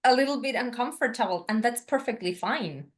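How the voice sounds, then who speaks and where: A woman's voice: fairly high pitch, lively intonation, a little fast. A woman hosting a podcast interview, affirming her guest and using filler sounds, whose first language is not English. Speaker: podcast host. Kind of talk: conversational interview.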